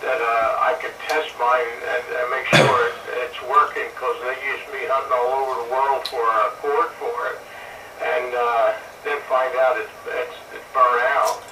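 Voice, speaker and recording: Halting man on a noisy CB radio. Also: medium pitch, flat delivery. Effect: two-way CB radio transmission. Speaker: amateur CB radio operator. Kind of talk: casual radio chat. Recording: noisy, distant, echoey CB radio reception.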